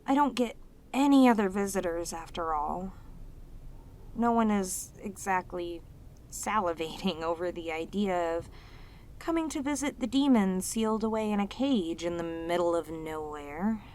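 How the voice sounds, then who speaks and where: A woman voicing a lonely, clingy, sweet female demon in an ASMR audio roleplay, with an earnest, halting, pleading manner. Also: fairly low pitch, a little mellow, slight background noise, lightly acted. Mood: anxious, wistful.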